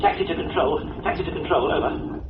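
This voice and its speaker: A man's voice: on the high side, brisk, lightly acted. Man acting field agent over noisy radio. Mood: uneasy. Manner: dry, radio-procedure speech. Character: wry, put-upon male surveillance agent posing as taxi driver. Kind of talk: Cold War radio drama. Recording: muffled, noisy, echoing radio-link sound.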